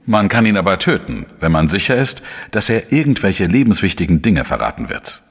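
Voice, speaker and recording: Deep, husky male investigative journalist. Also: big pitch swings, in a large hall, exaggerated voice. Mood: measured reasoning. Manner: measured, explanatory, matter-of-fact. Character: shrewd, dry male investigative journalist, narrating his case. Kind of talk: German crime radio play, narration and dialogue.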